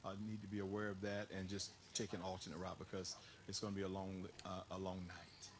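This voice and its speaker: Man, TV crime analyst. Lowish voice, live explanatory delivery, audible outdoor ambience. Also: completely flat delivery, a little fast. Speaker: crime and safety expert on TV news. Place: outdoors at a crash scene. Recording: clear outdoor ambient noise.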